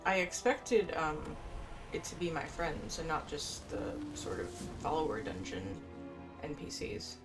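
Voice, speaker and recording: A woman's voice: low-pitched, sing-song, measured pace. A woman giving casual gameplay commentary, rambling and thinking aloud as she plays. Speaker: gaming streamer. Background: video game music.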